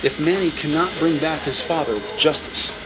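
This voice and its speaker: A man reading scripted descriptive narration in a measured, announcer-like way. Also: a little high. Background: background music.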